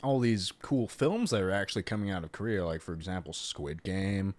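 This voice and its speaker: A man's voice: deep voice, brisk, darkish tone, no room sound. A man hosting a casual podcast interview, rambling with frequent restarts and laughter. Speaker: podcast host.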